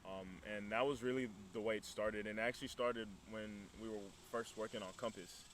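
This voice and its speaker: Low, even-voiced young man. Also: moderate pace. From Burundian background, grew up in Texas. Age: early 20s. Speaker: interview guest, student entrepreneur. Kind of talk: casual interview chat. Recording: clear background noise.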